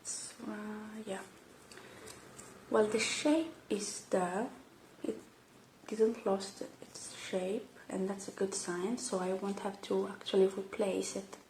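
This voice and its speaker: A woman doing a soft ASMR roleplay, murmuring and whispering step by step in a hushed, hesitant way. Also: medium pitch, steady tone, slow, roomy sound, slight background noise, low-quality recording. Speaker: ASMR roleplay creator playing a robot repair technician.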